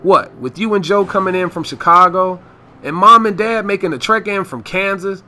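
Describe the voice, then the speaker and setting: A man's voice: high voice, moderate pace, pushed and bright. A man playing a breezy, boastful young father in a holiday radio play, with a jovial, showy way of talking. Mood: defensive, animated. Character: breezy, boastful young dad hosting Christmas Eve. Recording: music behind the voice.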